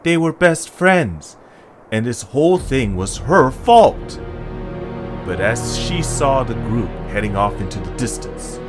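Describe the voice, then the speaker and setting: A man's voice: medium pitch, very animated, takes it slowly, over music. A man narrating a scripted story for an audio drama.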